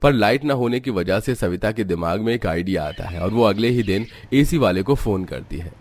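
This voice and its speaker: A man's voice: on the low side, expressive, moderate pace, slight background noise. A man narrating a cartoon family story as a scripted storyteller.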